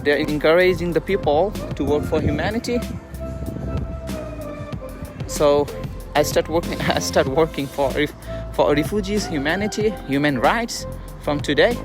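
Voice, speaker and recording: Man, Bangladeshi accent, informal live street vlog, earnest and halting, music behind him. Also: a little high. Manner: halting, earnest, repetitive phrasing. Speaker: vlogger and refugee activist. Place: outdoors at a busy roadside protest.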